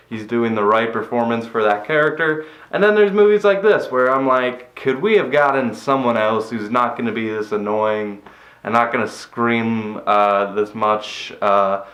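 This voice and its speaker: A man with a flat voice giving a rambling, cynical movie review, swearing casually, recorded in an empty room after a move. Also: medium pitch, echoey room.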